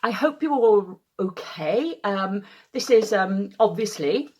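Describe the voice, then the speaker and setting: A woman's voice: mid-range voice, expressive, slow pace, darkish tone, slightly rough. A Welsh woman leading a choir from home, chatting casually and self-deprecatingly with lots of ums. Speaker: choir leader. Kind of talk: casual chat to camera. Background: faint keyboard.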